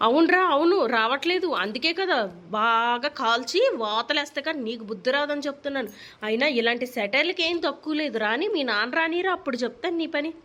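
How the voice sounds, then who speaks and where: A woman's voice: high voice, quick pace, fairly bright tone. A woman voicing an exasperated, nagging young mother in a comedy cartoon, with a Telugu accent and sarcastic, threatening scolding. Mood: sarcastic, fed up.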